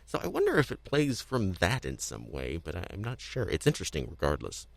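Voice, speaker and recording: Low-voiced man, casual podcast host. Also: wildly swooping pitch, fairly quick, gravelly, no room sound. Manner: hesitant, rambling, repeats words. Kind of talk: casual unscripted discussion.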